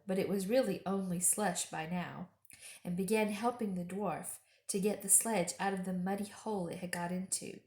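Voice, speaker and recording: A woman reading a children's story aloud expressively, with deliberate phrasing and dramatic emphasis. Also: medium pitch, a little mellow, roomy sound. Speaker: storytime reader.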